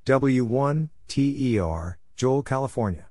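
A man reading out short scripted phrases as a practice narrator, deliberately spelling things letter by letter. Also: medium pitch, animated, noisy background. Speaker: Morse code practice narrator.

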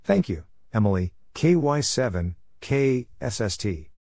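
A man's voice: mid-range voice, big pitch swings, unhurried, warm tone, no room sound. A man announcing Morse code practice, reading letters, numbers and short phrases in clipped, separated words.

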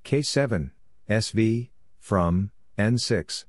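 Low-voiced man reading short clipped phrases. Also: expressive, slow, dry recording, clean studio sound. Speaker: Morse code practice reader.

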